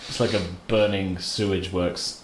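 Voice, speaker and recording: A man's voice: low voice, flat delivery, unhurried, echoey room, very noisy. A man running a tabletop game as game master, narrating in a loose, chatty way and laughing often.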